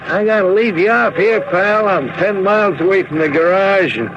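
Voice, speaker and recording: High, gravelly American truck driver. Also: male voice, moderate pace. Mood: kindly, jovial. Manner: colloquial, streetwise, chuckling. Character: friendly, folksy working-class truck driver, generous and chatty. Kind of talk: radio drama acting. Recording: dull, faintly noisy radio broadcast.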